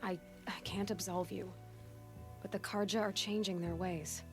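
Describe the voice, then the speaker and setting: A woman's voice: on the low side, steady tone, normal speed, music in the background, close to an everyday voice. An actress playing a young, plain-spoken hunter in a video game, direct and earnest in her delivery. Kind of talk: dramatic video game acting.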